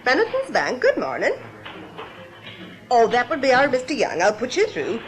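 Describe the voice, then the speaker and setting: A woman's voice: on the high side, huge pitch swings, moderate pace, faint music behind, low-quality recording. A woman playing a polite, cheery bank switchboard secretary in a radio drama, with faint background hiss. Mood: chirpy, polite. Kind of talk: British radio drama acting.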